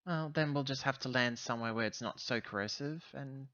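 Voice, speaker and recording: A man playing a roleplaying game, talking casually in character with halting, self-correcting explanations. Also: mid-range voice, bright, forceful tone, no room sound, highs cut off. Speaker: tabletop roleplaying player.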